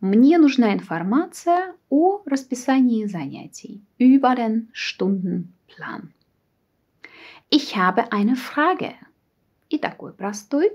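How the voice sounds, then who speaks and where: A woman language teacher presents phrases at a slow, measured pace. Her voice sits a little high and moves in lively swings of pitch. She enunciates carefully and repeats phrases for learners. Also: slightly rough. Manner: deliberate, careful enunciation with repetition. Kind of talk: instructional phrase drill with translations.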